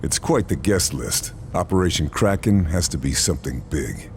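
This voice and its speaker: A man's voice: a bass voice, animated, normal speed, a little mellow, slight background noise. An actor playing a tough male sniper who talks in a dry, matter-of-fact way. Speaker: soldier. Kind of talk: game cutscene narration.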